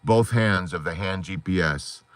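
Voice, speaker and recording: A man hosting a support group live stream, giving a fervent, rambling pep talk full of metaphors, his voice hoarse, from inside a parked car at night on a phone. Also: low voice, a little slow, dry recording.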